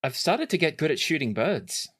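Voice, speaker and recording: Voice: mid-range voice, sing-song, normal speed, fairly bright tone, voice pushed up. A tabletop player voicing a reserved, hesitant young princess who speaks with slight formality. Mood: matter-of-fact. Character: reserved young princess, reluctant, formal, matter-of-fact about war.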